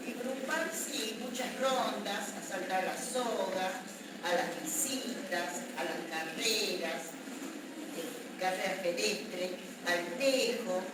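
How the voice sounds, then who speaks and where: A woman's voice: medium pitch, takes it slowly. An elderly woman from La Rioja with an Argentine accent, recalling her past in an interview. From La Rioja province, Argentina. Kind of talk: oral history reminiscence. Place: echoey room, far from the mic. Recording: very echoey, distant, noisy room recording.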